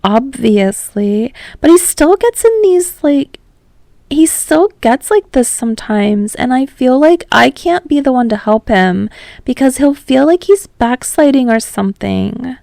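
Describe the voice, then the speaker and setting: A woman voicing a frank, caring, bossy teenage girl in an audio story, with a casual, emphatic delivery and drawn-out words. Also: high-pitched, expressive, gravelly, mellow, dry recording, studio quality, pitched above the performer's own voice, full character voice. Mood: worried.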